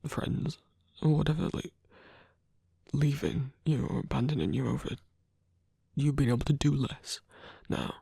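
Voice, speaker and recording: A performer in an intimate ASMR roleplay, voicing a gentle, protective supernatural lover with a husky, breathy voice and a hesitant, earnest delivery. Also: mid-range voice, animated, measured pace, no echo, studio quality, full character voice. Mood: reassuring, hesitant.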